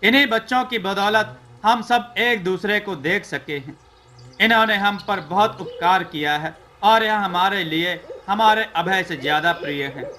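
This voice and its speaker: Guy reading a loving motherly queen from a storybook, gentle, high bright voice. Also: a little slow. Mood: affectionate. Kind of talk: storybook character dialogue.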